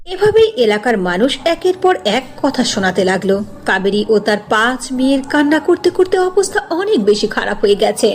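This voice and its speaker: High female voice, lively intonation, dramatic story narration, noticeable background noise. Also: a little fast.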